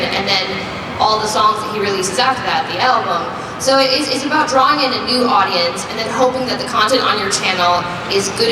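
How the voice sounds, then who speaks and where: An upbeat young woman talks in an easy, chatty way, sprinkling her answers with "like." She sounds distant from the mic, in a big echoing hall. Loud ambient noise fills the space behind her. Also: mid-range voice. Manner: upbeat and chatty, many "like" fillers, laughs often. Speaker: musician interviewee. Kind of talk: casual interview answers. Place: busy convention floor. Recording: echoey convention hall from far off, loud crowd noise.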